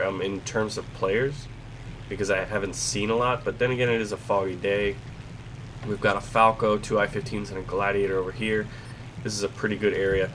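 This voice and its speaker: A young man with a stuffed-up cold, hosting casual gameplay commentary, chatty and self-deprecating, clearing his throat often. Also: fairly low pitch, a bit bright, a little hiss or hum, poor audio. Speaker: gaming channel host.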